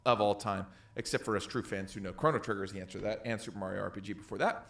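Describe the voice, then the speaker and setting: A man's voice: lowish voice, fairly even intonation, rapid-fire, gravelly, fairly bright tone. A man co-hosting a podcast, telling fan stories about video games with enthusiasm in casual chat. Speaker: podcast co-host.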